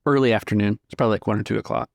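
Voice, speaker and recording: Low, creaky man running a tabletop game. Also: fairly even intonation, racing, no echo, studio quality. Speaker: game master. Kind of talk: casual tabletop game narration.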